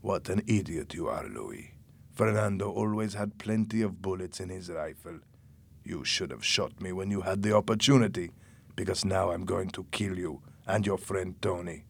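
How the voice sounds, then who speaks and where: A man voicing a cold, vengeful, wounded gangster in an audiobook story, speaking slowly and with menace. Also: low voice, gravelly, husky, pitched below the performer's own voice, exaggerated voice. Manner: slow, menacing. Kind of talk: audiobook character acting.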